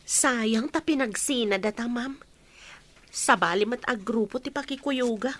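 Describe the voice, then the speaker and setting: A respectful junior health worker in an Ilocano radio drama. Her voice sits slightly high and carries an Ilocano accent. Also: measured pace, fairly bright tone. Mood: wistful. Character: deferential female junior medical mission worker.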